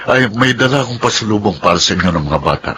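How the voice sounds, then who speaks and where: A courteous grown suitor with a Filipino accent played by a man in a radio drama. He speaks genially in a low, animated voice. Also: quick pace, noisy background, lightly acted. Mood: pleased. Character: courteous, agreeable grown suitor visiting the family.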